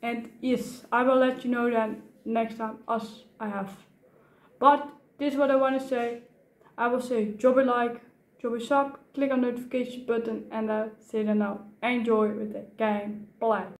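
A woman's voice: on the high side, level tone, normal speed, echoey room. A Dutch woman in her twenties, chatting casually as a gaming streamer from her gaming room and swearing a lot at other players. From Netherlands. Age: 20s. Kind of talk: casual gaming vlog and live commentary. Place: gaming room, seated in a gaming chair.

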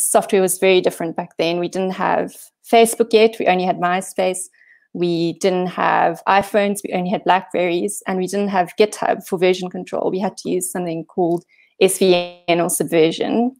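A woman's voice: fairly low pitch, a little mellow. A woman in her thirties from South Africa, with a South African accent, giving a casual, self-deprecating talk over a video call. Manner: self-deprecating, chatty, many fillers. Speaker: guest speaker. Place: home room on holiday.

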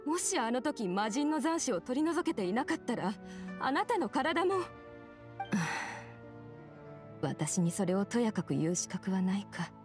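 A woman's voice: a little high, big pitch swings, measured pace, music in the background. An actress playing a serious, probing woman physician who is stern yet caring, in dramatic game dialogue. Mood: worried, bitter. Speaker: video game character.

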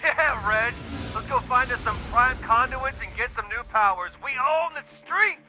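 An actor playing an excited, boastful young rebel hero in a video game. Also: male voice, very high voice, moderate pace. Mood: elated, boastful. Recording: music behind the voice.

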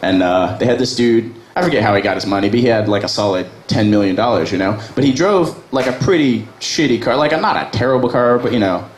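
A man's voice: mid-range voice, lively intonation, quick pace, hardly any pauses. A young man from Virginia doing a casual, crude, self-deprecating stand-up set at an open mic in a small bar. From Virginia, USA. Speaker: stand-up comic. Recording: echoey bar with background noise.

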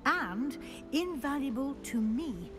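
Brisk, higher-voiced witch professor. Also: expressive. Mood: brisk, instructive. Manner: formal, crisp, schoolmistress phrasing. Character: brisk, astute woman professor of witchcraft, gently reproving. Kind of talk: scripted video game dialogue. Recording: music behind the dialogue.

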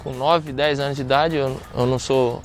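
A man in his thirties from Venezuela, a former footballer being interviewed outdoors on a sand court, talking in a relaxed, chatty way with frequent restarts. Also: medium pitch, moderate pace, faint music behind. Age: 30s. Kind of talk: casual interview.

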